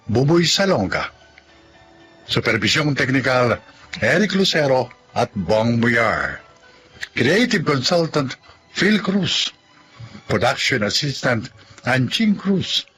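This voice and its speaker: A man narrates as a radio drama announcer in a dramatic, scripted style. His delivery is slow and highly animated, with faint music underneath.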